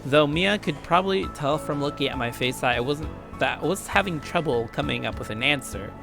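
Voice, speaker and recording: Young American male let's player, animated and slightly high, irreverent, reading aloud over background music. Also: brisk, vocal fry. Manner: irreverent, swearing. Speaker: let's play host. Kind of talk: reading game narration with casual commentary.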